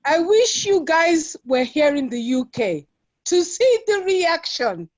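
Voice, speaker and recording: An older woman in her late sixties speaks in a notably high voice. She reflects earnestly and with emphasis, often repeating a phrase. The sound is dull and lo-fi, as from a video call. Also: very animated, slow. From London-born, grew up in West Africa. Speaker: webinar participant. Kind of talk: personal reflection in online discussion.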